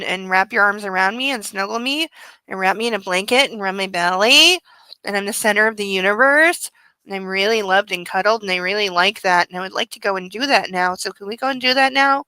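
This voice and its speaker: Needy baby of a boy dog, voiced by a woman, bright and dry, pleading over and over to be cuddled. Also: mid-range voice, fairly quick, clear, resonant tone, no room sound, lightly acted, poor audio. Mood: sleepy pleading. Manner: pleading, babyish, repetitive phrasing. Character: needy, cuddly male puppy begging mommy for affection.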